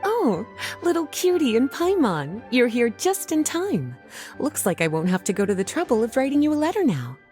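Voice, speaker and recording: A woman voicing a poised, indulgent librarian in a video game, velvety, articulate and gently teasing. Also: fairly high pitch, big pitch swings, moderate pace, over music. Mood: playful.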